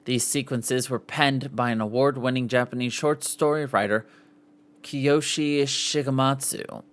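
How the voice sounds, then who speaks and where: A man with a mid-pitched voice reads written material aloud as a podcast host. His delivery is informal, with the odd off-the-cuff aside and self-correction as he works through the text. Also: steady tone, measured pace, fairly bright tone, clean tone. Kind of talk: reading an article aloud with casual asides.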